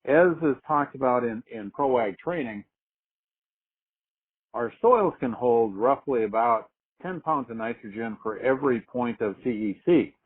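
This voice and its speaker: A man presenting on a business conference call, explaining things in a measured, deliberate way and posing rhetorical questions. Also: mid-range voice, expressive, breathy edge. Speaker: agricultural marketing manager presenting on a conference call. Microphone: telephone conference call. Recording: narrow, phone-like conference line.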